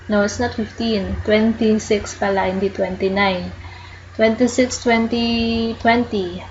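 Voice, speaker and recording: A woman with a Filipino accent walking viewers through an online process, explaining slowly and patiently while mixing English into Tagalog. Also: medium pitch, steady tone, lo-fi sound, slight background noise. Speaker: tutorial host. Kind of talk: casual screen-recorded walkthrough.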